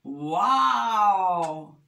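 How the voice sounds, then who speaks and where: A woman's voice: high voice, expressive, slow, a bit bright, voice pushed up, full character voice. A woman voicing a small, grumpy little bear cub in a storybook, plaintive and childlike. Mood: amazed. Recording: roomy echo, distant microphone.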